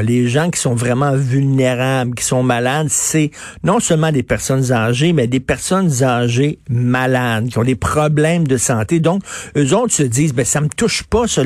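A man's voice: medium pitch, measured pace, darkish tone, no echo, clean studio sound. A man in his fifties with a Quebec French accent, a radio interviewer asking questions in a conversational way with frequent stammered restarts. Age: 50s.

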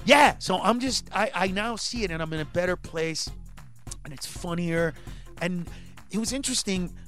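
Animated comedian man with music under. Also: high voice, normal speed. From Honduras. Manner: animated, rising to shouts when acting out bits. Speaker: stand-up comedian, guest. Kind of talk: casual interview chat.

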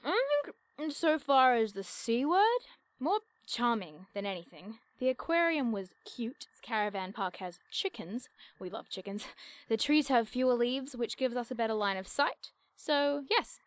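A woman's voice: a little high, very animated, moderate pace, dry recording. A woman playing an earnest, bookish young researcher of cryptids in an audio drama, speaking in precise, wordy phrases. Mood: composed, matter-of-fact.

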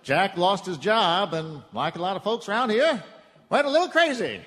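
A man playing an excitable, folksy older small-town cafe owner in a comic live radio play, with a hammy delivery. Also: sits high, normal speed. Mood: folksy, musing. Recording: echoey live theatre hall.

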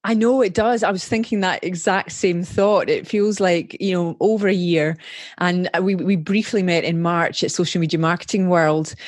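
This is a Scottish woman in her thirties, talking as a guest on a podcast. She sounds upbeat and chatty, and she laughs easily. Her voice sits a little low and is recorded dry and close. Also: steady tone, normal speed, no echo. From Scotland. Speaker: Facebook ads strategist, podcast guest. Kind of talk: casual interview chat.

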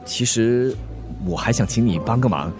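Earnest young executive played by a man, lively pitch. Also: moderate pace, over music, close to an everyday voice. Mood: anxious, pleading. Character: earnest young company executive pleading, apologetic, evasive. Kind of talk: radio drama acting.

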